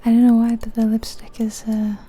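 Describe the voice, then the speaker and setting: A woman's voice: on the high side, monotone, brisk, warm tone. A woman whispering breathily in an ASMR game commentary, musing aloud and indecisive, close to the microphone. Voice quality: breathy, mostly unvoiced whisper. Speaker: ASMR video creator.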